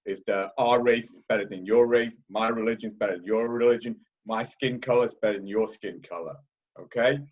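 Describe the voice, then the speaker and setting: Loud blunt man, British accent. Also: on the low side, a little fast, muffled recording. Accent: Liverpool-tinged British. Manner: loud, blunt, repeats "okay?" often. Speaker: conference speaker. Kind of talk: heated panel talk.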